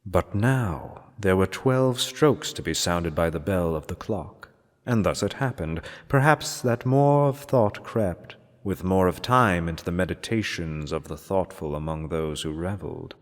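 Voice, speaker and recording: A man reading gothic horror fiction aloud as a dramatic narrator, in a theatrical, measured manner with deliberate pauses. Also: sits low. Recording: long cavernous reverb.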